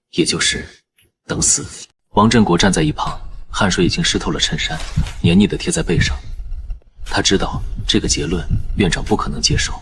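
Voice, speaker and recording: A man narrating in the first person as a calm surgeon, in measured scripted narration. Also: deep voice, creaky, slight background noise, exaggerated voice. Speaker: narrator and lead character, a surgeon.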